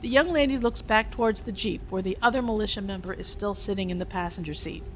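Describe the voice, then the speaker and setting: A woman's voice: medium pitch, fast, slight background noise. A woman giving scripted narration, reading out stage directions between lines of dialogue.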